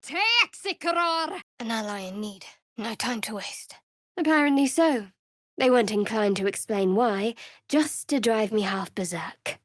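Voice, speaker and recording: An actress playing a guarded, sardonic young female cleric of a dark goddess in a fantasy video game, speaking in a measured, dryly wry way. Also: fairly high pitch, lively intonation, dry recording, studio quality. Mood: fierce, commanding, then wry, businesslike. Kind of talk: video game voice lines, dramatic fantasy acting.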